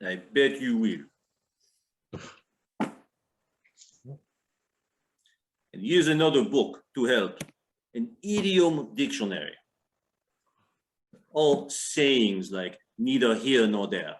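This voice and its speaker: A man's voice: medium pitch, sing-song, takes it slowly. A man acting a domineering Russian crime boss in readers theater, curt and commanding.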